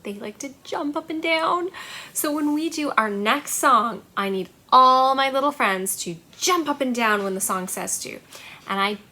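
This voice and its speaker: A woman with a high, bright voice presents a storytime for small children. She speaks in simple, encouraging phrases with a sing-song lilt. Her delivery is slow and swoops widely in pitch. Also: animated. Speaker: children's librarian, storytime host.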